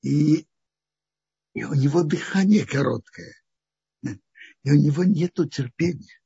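A man with a very husky, gravelly voice, pitched a little high, teaches the weekly Torah portion. He talks haltingly, thinking aloud and restarting phrases. The recording sounds dull and lo-fi. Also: dark tone.

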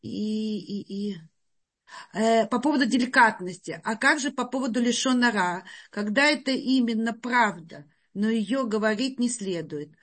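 A woman's voice: a little high, moderate pace. A woman student in a class, hesitantly finding and reading out her question. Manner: hesitant, searching for her place.

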